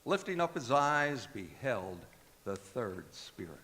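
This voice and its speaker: A man playing the narrator of a Victorian Christmas radio drama, with measured, dramatic delivery. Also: medium pitch, very animated, noisy background. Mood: storytelling. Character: storyteller of a Victorian Christmas tale. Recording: loud music under the voice.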